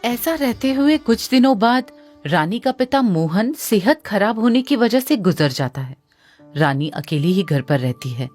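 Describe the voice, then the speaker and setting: A woman narrating a cartoon story in a lively storybook tone. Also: medium pitch, measured pace, soft background music.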